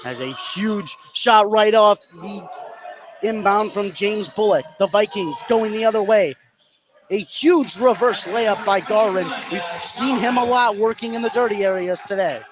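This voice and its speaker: A man doing excitable live play-by-play of high school basketball in short running phrases. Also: unhurried, background noise. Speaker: play-by-play sports commentator.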